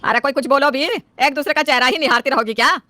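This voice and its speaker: A woman's voice: sits high, quick pace, sharp tone, no room sound, voice pushed up. A woman voicing a bossy, fault-finding middle-aged mother-in-law in a family story, in a scolding, demanding manner.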